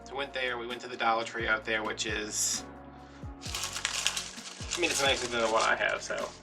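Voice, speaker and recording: American guy late 30s chatting while crafting, rambly n kinda dramatic, loud music behind him, mic bit far. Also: medium pitch, fairly even intonation, moderate pace, vocal fry. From New Orleans area, USA. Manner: rambling, playfully dramatic storytelling. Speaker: craft vlogger. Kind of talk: casual chatty crafting commentary. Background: background music.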